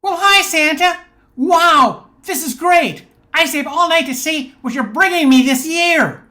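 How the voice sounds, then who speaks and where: A woman's voice: sits high, lively intonation, measured pace, pushed and bright, heavily acted. A woman acting an eager little boy undercover cop in an amateur radio play. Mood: excited, pleading.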